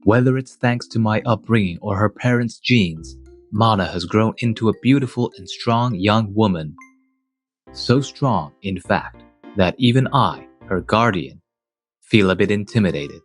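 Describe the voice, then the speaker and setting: Man playing a slow, low-voiced wistful guardian. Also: soft background music. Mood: reflective. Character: mild, wistful adult man, a devoted guardian. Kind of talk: scripted first-person manga dub narration.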